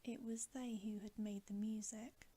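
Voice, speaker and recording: A woman reads a bedtime story in a soft, breathy ASMR whisper. Her tone is mellow and stays very even. She takes her time, gently and soothingly, at a slow pace. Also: fairly high pitch.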